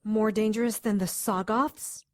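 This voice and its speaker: An actress playing a monster hunter's concerned, sensible companion in an audio drama, questioning and firm. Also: female voice, medium pitch, steady tone, unhurried, dry recording, clean studio sound, natural voice. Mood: alarmed.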